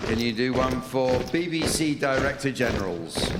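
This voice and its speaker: A man chairing a comedy panel show in front of a live audience, with a deadpan, mock-grandiose delivery. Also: medium pitch, normal speed, loud music behind the voice. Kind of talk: scripted comedy hosting before a live audience. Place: theatre stage with live audience. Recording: echoey hall, noisy laughing audience.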